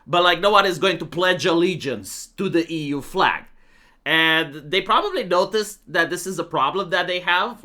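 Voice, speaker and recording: A man's voice: on the high side, bright, forceful tone. A young man from Romania with a Romanian accent, giving a casual, opinionated political monologue full of fillers. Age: young adult. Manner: opinionated, matter-of-fact, many fillers. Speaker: political commentator.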